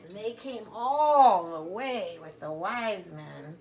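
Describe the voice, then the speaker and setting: A woman's voice: mid-range voice, animated, slow pace, vocal fry, darkish tone. A woman storyteller reading a children's story aloud with simple, emphatic phrasing and informal asides to young listeners.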